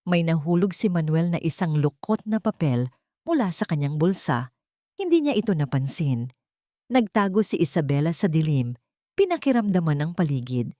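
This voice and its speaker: A woman's voice: fairly low pitch, mellow, dry recording, studio quality. A woman reading a scripted story as an audiobook narrator, in a dramatic storytelling style.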